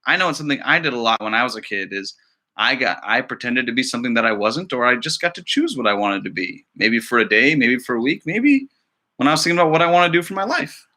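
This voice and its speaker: Bright, animated man introducing storytime. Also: mid-range voice, fast. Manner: encouraging, addressing young children. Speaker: school librarian reading stories to children. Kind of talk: casual introduction to a children's storytime.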